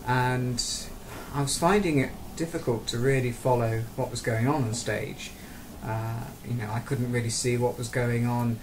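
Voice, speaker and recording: Man, mid pitch, chatty personal account, faint background noise. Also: fairly even intonation, moderate pace, darkish tone, rough recording. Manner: conversational, with fillers and restarts. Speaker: theatre-goer. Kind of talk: personal account told to camera.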